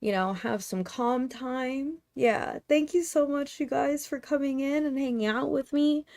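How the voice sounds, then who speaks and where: A woman streamer chatting casually and rambling with lots of fillers, tired and with her voice going hoarse. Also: high-pitched, unhurried, darkish tone. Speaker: craft streamer. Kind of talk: casual livestream chat.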